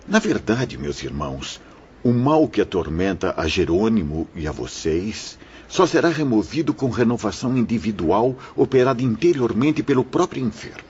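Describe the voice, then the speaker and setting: An actor playing a kindly, grave priest in an audio drama, speaking in a measured, paternal, sermonizing way. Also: male voice, on the low side, big pitch swings, darkish tone. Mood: grave, reassuring. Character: kindly, grave priest guiding troubled souls. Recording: muffled audio with clear background noise.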